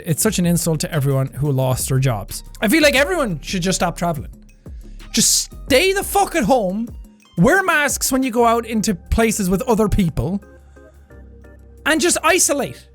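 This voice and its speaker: A man reading online posts aloud and reacting with energetic, sweary, mock-outraged rants, laughing often. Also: high voice, moderate pace, faint music behind. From Ireland. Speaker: YouTuber.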